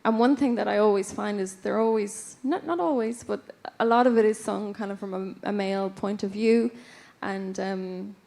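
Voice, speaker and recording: A woman's voice: medium pitch, normal speed, gravelly, few pauses, darkish tone. A woman from the west of Ireland with an Irish accent, giving an award acceptance speech on stage at a stage microphone, hesitant, with many fillers and restarts. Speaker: award winner, folk musician. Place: stage of a ballroom.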